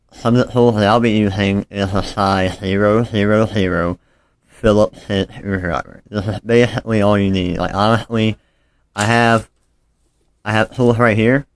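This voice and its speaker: A man with a fairly low voice narrates a casual hands-on repair tutorial. He talks informally and a little apologetically while busy with his hands, and the sound is dry and close, as filmed on a handheld phone. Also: smooth, no room sound.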